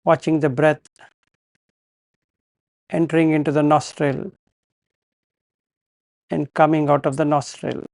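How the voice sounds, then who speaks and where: A man guiding a meditation as a teacher, giving calm, measured instructions in short phrases with pauses between them. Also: on the high side, gravelly, no room sound.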